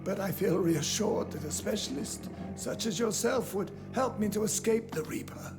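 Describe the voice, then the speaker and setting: An actor playing a dying, rich and ruthless old British industrialist in a video game, with a pompous, theatrical, formal delivery. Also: male voice, sits high, normal speed, over loud music, close to an everyday voice. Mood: impatient. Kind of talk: video game dialogue acting.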